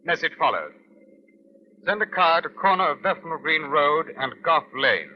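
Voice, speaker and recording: An actor playing a brisk, wry British police inspector in old radio crime drama, with clipped commands and dry understatement. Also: male voice, on the high side, sing-song. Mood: brisk, commanding. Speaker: lead actor and narrator. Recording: muffled old radio recording.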